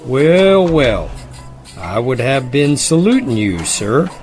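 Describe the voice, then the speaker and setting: A man's voice: mid-range voice, big pitch swings, takes it slowly, darkish tone. A man acting as a firm, courteous, official-sounding army sergeant in an audio drama. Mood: official, respectful. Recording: music bed behind.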